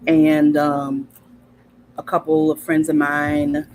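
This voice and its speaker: A woman's voice: low voice, level tone, slow, darkish tone, a little hiss or hum. A woman from South Carolina, a podcast guest telling stories in casual conversation, thoughtful and often stopping to restart with ums. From Hilton Head, South Carolina.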